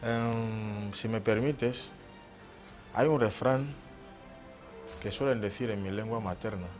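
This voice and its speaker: A man from Equatorial Guinea speaking as a guest on a studio panel, giving measured advice and quoting proverbs. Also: on the low side, darkish tone, faint music behind.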